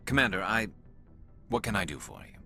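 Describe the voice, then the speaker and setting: An actor playing a frustrated, earnest and intense alien soldier loyal to the crew in a video game. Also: male voice, on the low side, very animated, a little fast, creaky, pushed and bright, soft background music, full character voice.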